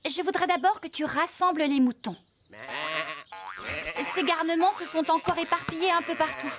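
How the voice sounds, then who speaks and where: A woman's voice: very high-pitched, normal speed, music in the background. A woman playing a friendly, organised young woman farmer in a children's cartoon, giving encouraging instructions. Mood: kindly, instructing.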